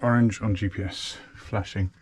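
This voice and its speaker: Low-voiced man talking over clear background noise. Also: steady tone, moderate pace. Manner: talking through each step as he works. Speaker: sailing vlogger. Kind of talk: casual narrated hands-on demonstration.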